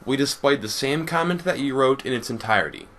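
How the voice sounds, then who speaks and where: Male YouTube commentator, bright and pointed tone, measured and articulate, reading a prepared rebuttal. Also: medium pitch, expressive, a little hiss or hum. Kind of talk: scripted response commentary.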